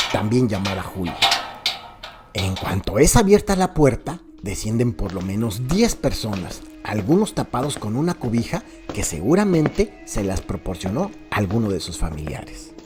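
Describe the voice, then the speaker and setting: A man's voice: lowish voice, very animated, normal speed. A man with a Mexican accent giving theatrical, descriptive scripted narration for a radio crime serial. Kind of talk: dramatized scripted narration, present-tense guided tour. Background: background music.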